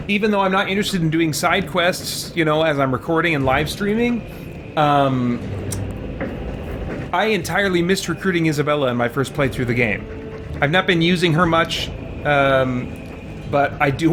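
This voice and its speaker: A man gives relaxed, casual commentary while playing a game. He thinks aloud and hesitates as he weighs things up, and prominent game music plays behind his voice. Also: a little high. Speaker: let's play host.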